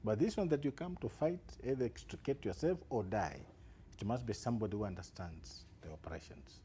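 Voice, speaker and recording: A man's voice: fairly low pitch, expressive, brisk, warm tone, slight background noise. A man, a security analyst guest on live TV news, explaining with assurance and emphatic rises.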